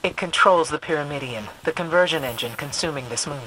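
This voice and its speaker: A woman playing a wise, composed warrior-scholar in a video game, measured and grave with dry wit. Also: low voice, lightly acted. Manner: measured, grave, dryly witty. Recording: gameplay noise underneath.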